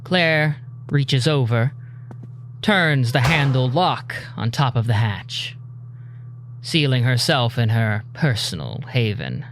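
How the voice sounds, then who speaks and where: A man narrating a scripted audio drama in a measured, dramatic storytelling manner. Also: medium pitch, animated, noisy background.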